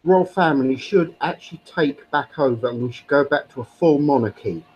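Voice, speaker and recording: Brief: husky British guy, opinionated, argues politics on a stream. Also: mid-range voice, a little fast, a little mellow, muffled recording. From Britain. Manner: opinionated, lecturing, uses actually a lot. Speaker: live stream guest. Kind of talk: casual political argument.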